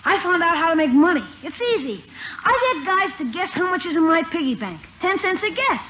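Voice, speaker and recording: A very high, swooping voice of a brash young boy, full of slangy enthusiasm and schemes. It is 1950s radio sitcom acting on a muffled recording with faint noise. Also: sing-song, brisk. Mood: eager. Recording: muffled, noisy old radio broadcast recording.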